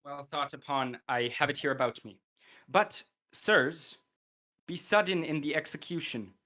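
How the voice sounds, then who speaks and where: Bright-voiced scheming royal villain played by a young man. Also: on the high side, measured pace. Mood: brisk, conspiratorial. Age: young adult. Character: scheming, bitter, villainous royal brother, coldly gleeful. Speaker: student actor. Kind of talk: Shakespearean radio play acting.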